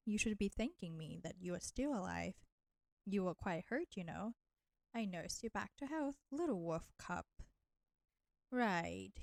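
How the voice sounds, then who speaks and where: A woman's voice: mid-range voice, creaky, darkish tone, no room sound. A woman voicing a flirty, nurturing vampire in an intimate roleplay audio drama, teasing and coaxing the listener. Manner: slow, teasing, coaxing, addressing listener with pet names. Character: flirty, teasing, nurturing female vampire, ancient enemy turned caretaker.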